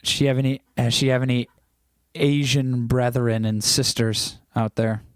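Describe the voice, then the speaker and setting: A man's voice: medium pitch, steady tone, moderate pace, clean tone, dry recording, studio quality. A man hosting a casual livestream, chatting loosely and teasing his viewers as he reads their comments aloud. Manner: rambling with frequent restarts. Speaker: livestream host.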